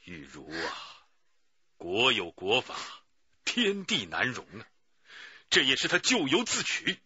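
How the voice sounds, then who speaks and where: An actor playing a grave, righteous middle-aged police chief in a radio drama, with a husky voice. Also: male voice, medium pitch, wildly swooping pitch, slow, sharp tone, no room sound, highs cut off, exaggerated voice. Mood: grave, pained. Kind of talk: Chinese radio drama acting.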